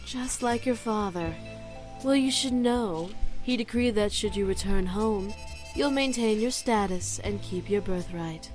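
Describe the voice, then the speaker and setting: A woman's voice: mid-range voice, measured pace, a little mellow, natural voice. A woman performs a scorned demon noblewoman from a visual novel game. She is imperious and theatrical, with a mocking edge in a mid-range voice. Music plays behind her. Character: haughty female demon noble, scorned fiancée and would-be queen.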